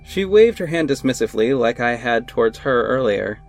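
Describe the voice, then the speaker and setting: A man's voice: medium pitch, animated, normal speed, natural voice. Man playing a young detective, wry, casual, first-person narrator, audio drama, music underneath.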